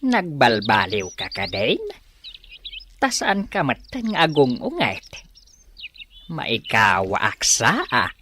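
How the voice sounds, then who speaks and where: A woman playing a gentle old farmer husband in an Ilocano audio drama, drawling with an Ilocano accent. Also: extremely low pitch, wildly swooping pitch, a little hiss or hum, full character voice. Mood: puzzled. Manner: slow, drawling, full of interjections. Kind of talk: Ilocano radio drama acting.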